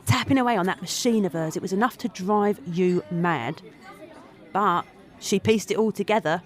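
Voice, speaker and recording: Actress as a bitter, devoted confidante. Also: female voice, medium pitch, moderate pace, some chatter behind, lightly acted. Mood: bitter recounting. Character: bitter, devoted woman confidante of a famous singer, resentful. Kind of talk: dramatic monologue in audio drama.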